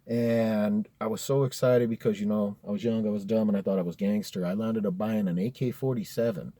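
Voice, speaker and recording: Young american guy, lowish mellow voice, dry sound, telling a scary story casually, lots of swearing. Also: fairly even intonation, fairly quick, no echo. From Colorado, United States. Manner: profane, slangy, addressing listeners as dude and bro. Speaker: storyteller, host of a story-time series. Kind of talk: casual spoken personal horror story.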